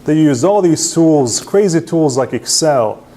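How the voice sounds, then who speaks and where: A man presents to a meetup crowd. He is self-deprecating and casual, often tags his points with a quick "right?" and restarts his sentences as he goes. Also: fairly high pitch, animated, mellow. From Serbia. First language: Hungarian. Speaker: presenter at user group meetup. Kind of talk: conference talk with slides.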